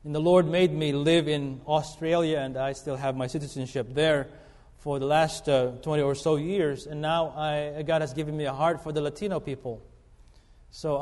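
Brief: middle-aged man, guest preacher, slightly high voice, earnest and halting, church hall reverb. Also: darkish tone. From Philippines. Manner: earnest, halting with fillers and restarts. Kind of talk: sermon. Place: church sanctuary.

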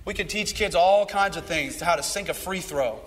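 A hoarse man preaching a sermon as youth pastor, swinging between loud fired-up calls and low earnest appeals. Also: normal speed, big-room sound.

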